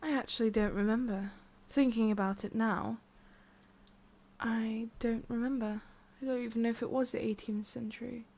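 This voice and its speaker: A woman playing a haughty, prickly witch with a secret soft side in an ASMR roleplay, soft-spoken and halting, slipping into whispery breaths. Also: a little high, fairly even intonation. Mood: wistful, halting.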